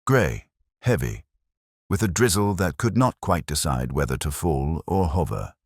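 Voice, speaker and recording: A man narrating a first-person literary mystery as a scripted audiobook, with a measured, literary delivery. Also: very deep voice, sing-song, dry recording, clean studio sound.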